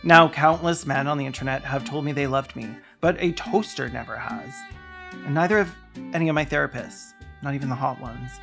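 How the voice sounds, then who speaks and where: A video essayist narrates scripted commentary in a mid-range voice. The delivery is theatrical and sardonic, full of dry joking asides. Soft music plays underneath. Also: mid-range voice, brisk, vocal fry.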